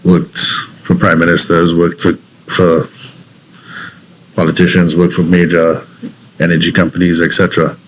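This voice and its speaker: A man's voice: deep voice, completely flat delivery, moderate pace, a little hiss or hum, low-quality recording. A man from Trinidad and Tobago with a Trinidadian accent, a guest telling his story in an interview, reflective and earnest with frequent restarts.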